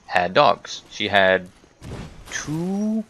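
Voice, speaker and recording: Guy doing storytime over a game, lowish sharp voice, rambles n corrects himself, swears at the game. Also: sing-song, drawn-out words, a little hiss or hum. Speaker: gaming video host telling stories while playing. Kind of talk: casual storytime commentary over gameplay.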